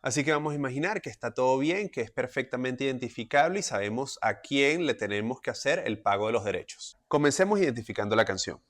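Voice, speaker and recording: Man, young adult, video lesson explainer, methodical, clear, mid-pitched voice. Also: expressive, quick pace, hardly any pauses, a bit bright. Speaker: music industry educator.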